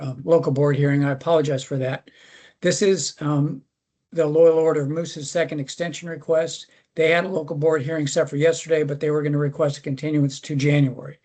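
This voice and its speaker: Male commission member, fairly high voice, flat delivery, halting procedural phrasing. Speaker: commission member presenting permit cases. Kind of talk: formal public meeting discussion.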